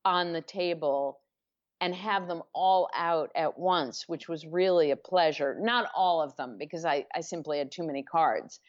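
A woman author being interviewed, speaking thoughtfully with pauses and self-corrections. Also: deep voice, highs cut off. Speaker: author guest. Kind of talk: podcast interview.

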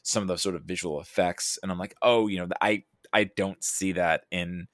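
Low-voiced man thinking aloud. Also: fairly even intonation, no room sound, clean studio sound. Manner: halting, self-correcting, thinking aloud. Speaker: podcast guest or co-host discussing a film. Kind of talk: casual film discussion.

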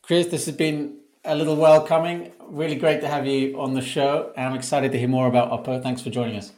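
A man hosting a podcast, reading a measured, scripted introduction and then asking his guest questions. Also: medium pitch, level tone, a little mellow, roomy sound. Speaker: podcast host.

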